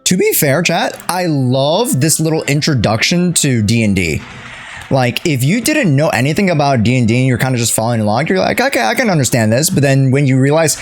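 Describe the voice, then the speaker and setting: A man giving casual, slangy gameplay commentary to a live chat, reacting out loud. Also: a little high. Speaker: livestreaming gamer. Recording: game music behind the voice. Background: video game music.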